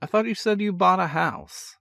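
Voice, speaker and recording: A man's voice: sits high, normal speed, vocal fry, bright, forceful tone, no room sound, studio quality, pitched above the performer's own voice, full character voice. A man reading a story, voicing a groggy, sarcastic younger brother woken by a phone call. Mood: sardonic. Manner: sardonic, teasing.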